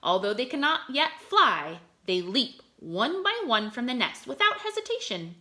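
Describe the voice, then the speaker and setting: Animated, bright-voiced woman reading aloud. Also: fairly high pitch, moderate pace. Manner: animated, expressive delivery with check-in questions. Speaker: teacher reading poems aloud to children. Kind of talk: read-aloud lesson with asides and explanations.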